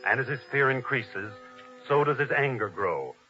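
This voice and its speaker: A man's voice: mid-range voice, expressive, moderate pace, pushed and bright. A man narrating a scripted 1950s radio drama in a solemn, formal manner. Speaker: announcer and narrator. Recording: dull old radio recording. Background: music.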